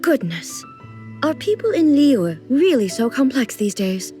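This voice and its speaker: A woman playing a dignified, earnest, sheltered ruler in a video game, speaking in a formal, composed, measured way. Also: sits high, sing-song, warm tone, soft background music. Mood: curious, intrigued. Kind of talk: video game character acting.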